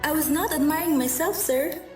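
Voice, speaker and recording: A woman's voice: sits high, moderate pace, natural voice. A woman playing a proud, haughty woman in an amateur radio drama, with a theatrical, emphatic delivery. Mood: indignant, scornful. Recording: distant, echoey room, faint music.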